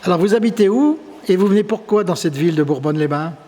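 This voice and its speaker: A man's voice: high-pitched, animated, fast talker, dark tone, slight background noise. A man interviewing a sculptor and guiding a visit through an exhibition, asking formal, leading questions. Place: tourist office exhibition hall. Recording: reverberant hall with faint ambience.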